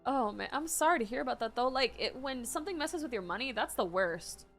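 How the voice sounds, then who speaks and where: A woman's voice: high voice, animated, fairly quick, fairly bright tone, soft background music. A woman doing casual gaming commentary, slangy and chatty, talking back to the characters. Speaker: streamer reacting to a game.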